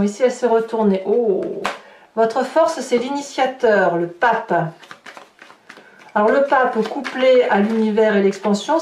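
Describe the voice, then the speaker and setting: A woman's voice: on the high side, a little mellow, a little hiss or hum. Female tarot host, improvised guidance delivery, distant echoey recording. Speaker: tarot reader, host of horoscope guidance videos. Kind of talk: unscripted card reading addressed to viewers. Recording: roomy echo, distant microphone.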